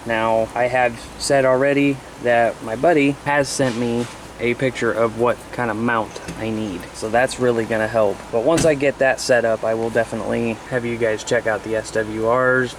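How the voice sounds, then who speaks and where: Man over clear background noise. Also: mid-range voice. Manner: folksy, colloquial. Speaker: amateur CB radio vlogger. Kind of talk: casual vlog talk to viewers. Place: in a parked car and outdoors beside it. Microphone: handheld camera at arm's length. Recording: clearly noisy handheld camera audio.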